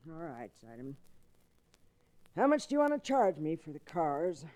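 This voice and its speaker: An actress playing a feisty, blunt older cattle rancher in a radio Western, folksy and exasperated. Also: female voice, deep voice, sing-song, normal speed, warm tone. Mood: wary. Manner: folksy, plain-spoken, exasperated frontier talk. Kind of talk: old-time radio Western acting.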